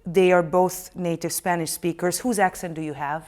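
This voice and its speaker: A woman's voice: fairly low pitch, steady tone, normal speed, darkish tone. A woman hosting a studio panel talk show, asking probing questions with a wry edge.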